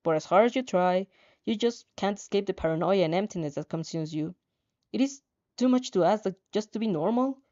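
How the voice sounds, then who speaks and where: A woman's voice: medium pitch, animated, a little fast, dark tone, muffled recording. A woman casually reading a story aloud and reacting as she goes, giggly and prone to stumbling over the words. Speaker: host reading and reacting to fan fiction.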